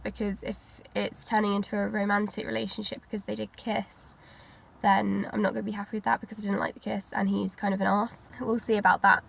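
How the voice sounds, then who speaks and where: Woman vlogger, stuffy head cold, a bit of vocal fry, chatty and rambling. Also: medium pitch. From Britain. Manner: rambling, many likes and ums. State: congested from a cold, sniffly. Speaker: book vlogger. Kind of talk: casual chatty vlog.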